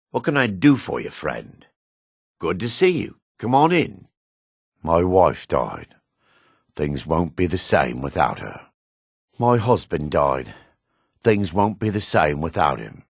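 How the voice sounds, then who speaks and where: A man voicing a gruff, guarded dark elf townsman in a fantasy video game, with a raspy, measured, theatrical delivery. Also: rumbling low, no echo, clean studio sound, exaggerated voice. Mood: welcoming. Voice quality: raspy, gravelly.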